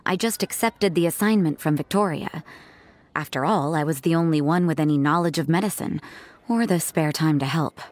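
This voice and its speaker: Game role: woman voicing a detached, sardonic young nun, low voice, unbothered. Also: normal speed, no echo, natural voice. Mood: dry. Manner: cool, flat and sardonic. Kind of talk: video game voice acting.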